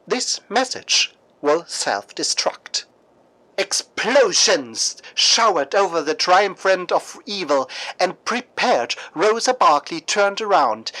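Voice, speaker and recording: Male radio anchor, unhurried delivery, very animated intonation, theatrical, mock news and pulp storytelling. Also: fairly high pitch, rough recording. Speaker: news anchor and narrator of a fan-made radio show. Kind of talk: dramatic scripted narration, mock radio news.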